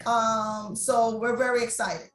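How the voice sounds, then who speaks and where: A woman's voice: fairly high pitch, steady tone, slow pace, a bit bright, reverberant, faint music behind. A middle-aged woman from Jamaica, a restaurant owner, talking in an interview in a chatty way and laughing often. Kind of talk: interview conversation.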